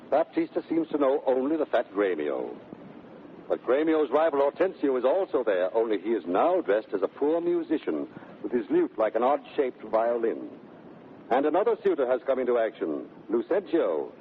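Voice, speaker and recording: A man's voice: mid-range voice, slight background noise, close to an everyday voice. A man with a British accent narrating a scene in a scripted, storytelling 1930s radio manner. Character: scene-setting narrator explaining the play. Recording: narrow vintage radio, music behind.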